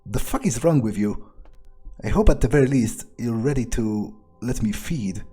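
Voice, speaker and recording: A man's voice: medium pitch, animated, moderate pace, warm tone, slightly rough, soft background music. A man voicing a cynical, suspicious vampire in an in-character game roleplay, musing hesitantly and swearing freely. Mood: startled, contemptuous. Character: cynical, suspicious, foul-mouthed young male vampire, thinking aloud.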